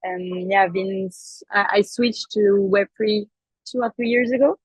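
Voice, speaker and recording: A woman's voice: mid-range voice, fairly even intonation, a little slow, breathy edge, dry recording, low-quality recording. A woman introducing herself on a podcast in an easygoing way. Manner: easygoing, listing with fillers. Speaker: event manager.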